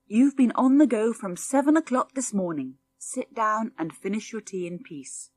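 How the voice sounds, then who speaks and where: A woman's voice: a little high, normal speed, a little mellow, no room sound, close to an everyday voice. A woman voicing a kindly, bustling farmer's wife and mother in a storybook, with a soothing, motherly manner. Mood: placating.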